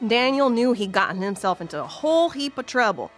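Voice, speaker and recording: High-voiced folksy actress as western storyteller. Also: female voice, very animated, a little fast, music in the background. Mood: wry storytelling. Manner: folksy, colloquial. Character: folksy, wry female Old West storyteller. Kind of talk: scripted western audio drama narration.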